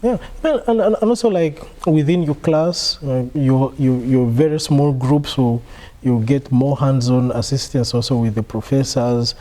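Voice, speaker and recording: A man's voice: medium pitch, normal speed, mellow. A man hosting a casual interview for university staff, asking rambling questions and murmuring agreement constantly.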